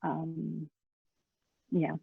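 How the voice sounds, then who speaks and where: A soft-spoken woman in her thirties talks hesitantly as an interview guest, pausing often. Her voice is gravelly and a little husky. The sound is dry and thin, like a phone line. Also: low-pitched, monotone, dry recording. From Florida, USA. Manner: soft-spoken, hesitant, with many ums and pauses. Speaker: artist and guest. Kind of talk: casual interview over video call. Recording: narrow phone-like call audio.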